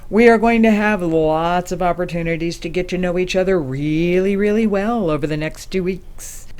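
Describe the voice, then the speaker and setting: A man playing a composed, candid ambassador in a science fiction drama, speaking in a measured, diplomatic way. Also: high-pitched, darkish tone, clean tone, lightly acted. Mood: reassuring, candid. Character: composed, candid senior ambassador leading a secret space mission. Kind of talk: acted dialogue.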